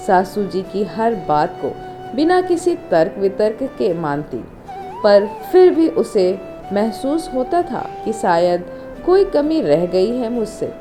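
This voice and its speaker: A woman narrating an emotional family story as a storyteller, in a measured, expressive way. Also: medium pitch. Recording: music under the voice. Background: instrumental music.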